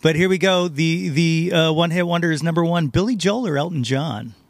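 A man's voice: a little high, brisk, no echo. A man hosting a podcast in a casual, conversational interview style. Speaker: podcast host.

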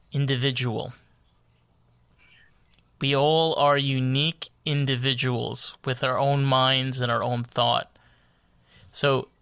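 A man in casual, reflective conversation, phrasing his thoughts haltingly. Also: medium pitch, creaky, fairly bright tone, no room sound. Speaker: conversation participant.

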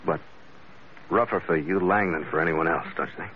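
Guy acting as tough calm skipper detective, deep voice, clipped old time radio delivery, dull noisy recording. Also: fairly quick, natural voice. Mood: steady, probing. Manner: terse, hardboiled, matter-of-fact. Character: tough, calm American sea skipper turned amateur detective. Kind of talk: 1940s-50s radio drama acting, first-person narration and dialogue.

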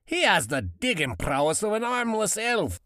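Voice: medium pitch, big pitch swings, normal speed, fairly bright tone, dry recording, clean studio sound. An actor voicing a mocking dwarf miner who delivers theatrical, scornful insults. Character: gruff, mocking dwarf miner bullying a beardless companion.

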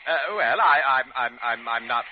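An actor playing a fussy, blustering middle-aged hospital chief who stammers and repeats himself, in a 1940s radio drama. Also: male voice, mid-range voice, expressive, moderate pace, close to an everyday voice. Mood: flustered, sheepish. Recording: muffled, faintly noisy old radio recording.

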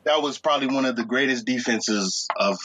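A man co-hosting a casual sports podcast, joking around in a playful, quick-to-laugh way. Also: medium pitch, noisy background. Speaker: podcast co-host.

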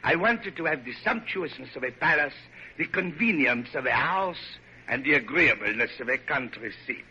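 Haughty French architect, piercingly forceful. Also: male voice, medium pitch, expressive, moderate pace, a little hiss or hum. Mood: lofty, insistent. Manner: haughty, formal, indignant. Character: proud, temperamental French architect, defiant and self-important. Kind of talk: 1940s radio drama acting. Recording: muffled old radio broadcast.

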